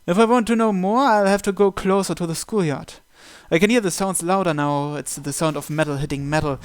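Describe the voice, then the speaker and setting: A man's voice: sits high, fairly quick, smooth. A man reading a visual novel aloud as first-person narration for a playthrough. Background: game music.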